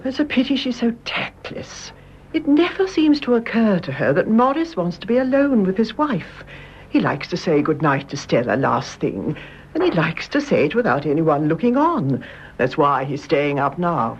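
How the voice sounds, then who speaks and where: A British actress playing a gracious, chiding older mother and hostess in a vintage radio drama, genteel and briskly expressive. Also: lowish voice, slight background noise. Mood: confiding. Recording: dull lo-fi radio recording.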